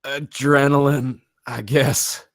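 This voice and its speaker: A man's voice: mid-range voice, little pitch movement, slow pace, creaky, no echo, studio quality. A man reading a guarded, tough but generous character in a screenplay table read, terse and offhand.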